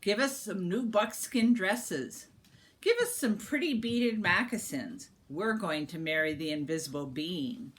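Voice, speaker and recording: A woman's voice: fairly low pitch, expressive, measured pace. Woman voicing haughty, insistent older sisters. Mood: boastful, demanding. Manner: insistent, bragging, flustered when questioned. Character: two haughty, vain older sisters, young women, boastful and demanding.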